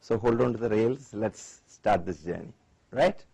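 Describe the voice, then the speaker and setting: A man's voice: on the low side, a little slow, vocal fry, mellow, slightly rough. A man from India in his forties with an Indian accent, a doctor giving a conference lecture and engaging the audience with questions. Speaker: Ayurvedic doctor, guest lecturer.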